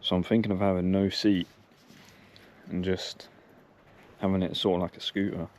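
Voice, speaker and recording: A man's voice: sits low, little pitch movement, moderate pace, darkish tone. A young man giving plain, matter-of-fact, casual commentary as he works, like a vlogger. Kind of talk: casual narration while working on bikes. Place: car, sheds and yard while working.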